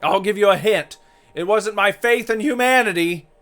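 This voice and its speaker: A man voicing the ghost of a brash, crude young soldier, shouty and melodramatic, in a visual novel reading. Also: high voice, moderate pace. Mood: defiant, crude.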